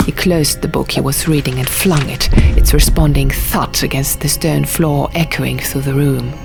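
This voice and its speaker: A woman reading an audiobook in a measured, hushed and dramatic first-person narration. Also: extremely low pitch, steady tone, vocal fry. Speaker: audiobook reader. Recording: music behind the voice.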